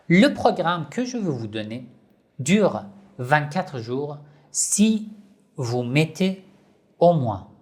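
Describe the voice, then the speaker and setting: Slow, emphatic man teaching online. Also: mid-range voice. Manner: slow, repetitive, emphatic explaining. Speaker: online Islamic institute teacher. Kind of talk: slow instructional video talk.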